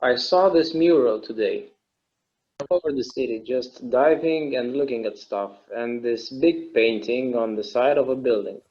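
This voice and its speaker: A man acting a reflective immortal character in a naturalistic table read, speaking in a halting, earnest, conversational way. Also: mid-range voice, darkish tone, lightly acted. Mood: wistful, solemn. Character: wistful, reflective immortal man confiding in his partner. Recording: slightly dull, lo-fi sound.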